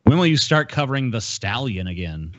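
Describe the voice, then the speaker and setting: A man's voice: medium pitch, big pitch swings, a little fast, dry recording, highs cut off. A man hosting a podcast, chatting casually with crude, heavily profane language and reading out viewer messages. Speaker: podcast host.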